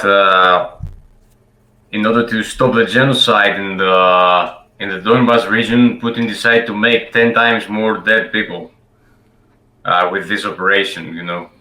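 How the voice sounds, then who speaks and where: A man's voice: on the low side, bright, forceful tone, echoey room, low-quality recording. A man from Greece, talking to a stranger in a casual video chat, hesitant and full of fillers.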